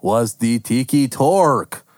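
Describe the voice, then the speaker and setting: A man's voice: medium pitch, lively intonation, slow, no echo, clean studio sound. A man co-hosting a podcast, chatting casually and teasing his co-host, swearing freely. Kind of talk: casual chat.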